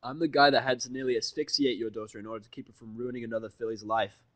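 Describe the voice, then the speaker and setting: A man's voice: mid-range voice, steady tone, quick pace, no room sound, close to an everyday voice. A man playing a defiant, foul-mouthed human who bluffs and scolds in a brash, sarcastic, confrontational way in an audio story. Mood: heated.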